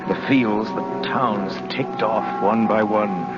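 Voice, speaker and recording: An actor playing a frightened lone male motorist in a 1940s radio drama, telling his tale in a halting, anxious way. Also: fairly low pitch, steady tone. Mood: haunted, brooding. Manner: halting, anxious, stammering under strain. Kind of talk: 1940s radio drama acting, first-person narration. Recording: dull 1940s radio recording, loud music.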